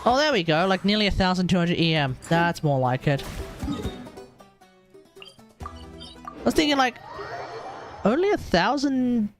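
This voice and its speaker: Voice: mid-range voice, big pitch swings, measured pace, pauses often. An Australian streamer giving casual gaming commentary, muttering to themselves and mildly swearing as they go. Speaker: livestream host, gamer. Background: video game music.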